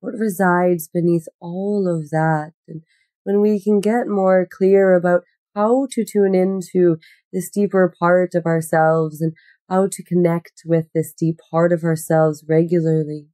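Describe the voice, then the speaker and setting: A woman guiding a meditation in a gentle, unhurried, soothing manner with long pauses. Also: fairly low pitch, no echo, clean studio sound. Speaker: yoga nidra meditation guide. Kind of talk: guided meditation.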